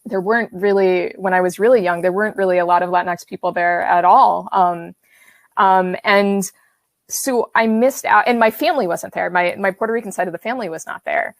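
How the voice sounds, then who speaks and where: A woman's voice: medium pitch, steady tone, fairly quick, no room sound, studio quality. Rambling Midwestern-raised woman on a video call. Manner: rambling, heavy with fillers and restarts. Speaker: author-illustrator on a panel. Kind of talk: casual conversation. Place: home studio room with brick wall. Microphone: video call webcam.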